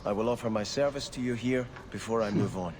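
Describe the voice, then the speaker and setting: An actor playing a devout exiled prince in a video game, earnest, formal and grieving. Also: male voice, fairly low pitch, fast talker, a little mellow, a little hiss or hum, close to an everyday voice. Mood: troubled, confessing. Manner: solemn, formal.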